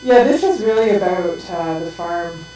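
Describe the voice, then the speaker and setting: A woman's voice: on the low side, animated, moderate pace, warm tone. A middle-aged woman from Ontario with a Canadian accent, a poet speaking informally to an audience before reading. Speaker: poet introducing her reading. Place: bookstore poetry event. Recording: very echoey distant room mic, music, hum.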